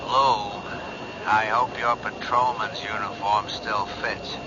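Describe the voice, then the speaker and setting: A man playing a gruff, irritable police captain with dry sarcasm in a 1970s radio drama. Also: fairly low pitch, steady tone, takes it slowly. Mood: grumpy, sarcastic. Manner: gruff, curt, dryly sarcastic. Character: gruff, skeptical, irritable male police captain, older boss. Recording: noisy, dull 1970s radio recording.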